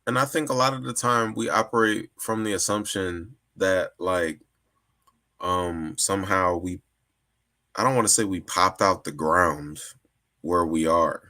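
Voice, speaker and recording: A low-voiced man talks through his ideas in a loose, conversational way. His tone is bright and forceful, and he often restarts and repeats words as he builds his point. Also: fairly even intonation, a little slow. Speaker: guest. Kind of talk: casual discussion.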